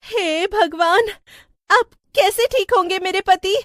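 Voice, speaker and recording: A woman playing an earnest, plaintive young village wife in an animated story. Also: very high-pitched, slow pace, dry recording, clean studio sound, full character voice. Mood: worried. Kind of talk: animated Hindi story acting.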